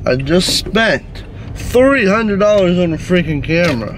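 A man's voice: high voice, lively intonation, a little slow, noisy background. Joking young man vlogging on camera. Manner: loose, joking, swears casually. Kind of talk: casual vlog chat. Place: inside a car, then outdoors and at home. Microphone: handheld camera close up.

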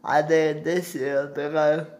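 A young man giving a casual sports recap to his phone at home, halting as he searches for words. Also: a little high, level tone, a little mellow. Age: young adult. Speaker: sports vlogger. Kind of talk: casual postgame basketball recap. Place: small indoor room at home. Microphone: phone at arm's length.

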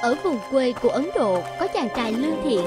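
A woman's voice: high voice, lively intonation, brisk. A woman giving scripted storybook narration as a narrator. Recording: music behind the voice.

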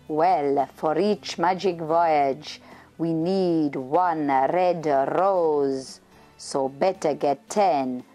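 A woman's voice: low-pitched, very slow, mellow, faint music behind. A woman voicing an elegant, smirking male lion adventurer from a storybook, speaking in suave, inviting verse.

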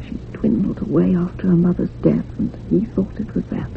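Creaky-voiced, sorrowful village housekeeper. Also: female voice, fairly low pitch, fairly even intonation, moderate pace. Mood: troubled. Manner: rustic old-fashioned turns of phrase, confiding. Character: older village housekeeper, sorrowful, superstitious, talkative. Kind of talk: old-time radio drama acting. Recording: muffled phone-like radio recording with hum.

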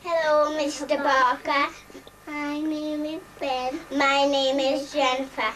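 A young performer playing a curious child who puts questions to a show in a clear way. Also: high voice, little pitch movement, slow, bright, forceful tone, slight background noise, full character voice.

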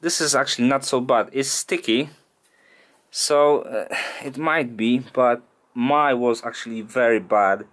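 A man's voice: medium pitch, fairly bright tone. Hesitant man talking through a garage job. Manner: halting, thinking aloud with frequent uh and restarts. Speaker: DIY car mechanic channel host. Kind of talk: casual workshop vlog. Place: small home garage workshop.

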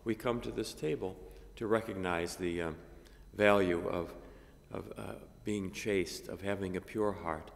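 An older man, a priest, reading a gospel and preaching a homily at a lectern microphone, measured and halting with many fillers. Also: low voice, steady tone, gravelly. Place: cathedral chapel, at a lectern. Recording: long reverb of a chapel.

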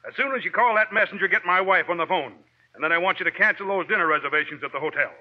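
Snappish high-voiced male boss. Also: rapid-fire. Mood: irritable, curt. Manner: snappish, impatient. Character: irritable, curt boss with a headache. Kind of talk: 1940s radio commercial acting. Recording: muffled vintage radio recording.

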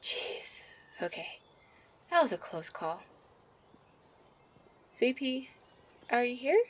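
A woman voicing a shy, sweet young fairy in an ASMR roleplay, with a soft, coy, flustered manner. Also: fairly high pitch, very animated, slow pace, a little hiss or hum. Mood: anxious. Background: birdsong and outdoor ambience.

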